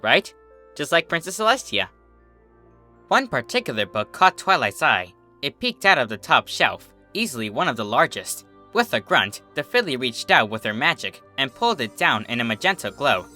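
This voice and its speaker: Guy narrating a fanfic storybook, really animated and bright, expressive read, bit of music behind. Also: mid-range voice. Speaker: fan fiction narrator.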